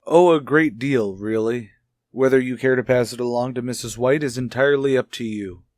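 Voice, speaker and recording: An actor playing a gentle, probing child psychologist in a radio soap, speaking in a measured, soothing, tactful way. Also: male voice, mid-range voice, no echo, natural voice. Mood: guarded, serious. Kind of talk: old radio soap opera acting.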